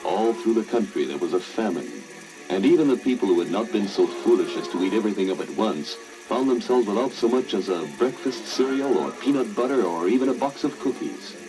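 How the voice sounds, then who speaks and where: A man with a deep, low voice reads a scripted fairy tale for children. He serves as the storyteller, and music plays clearly behind him. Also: animated, brisk, rough recording. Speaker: story narrator.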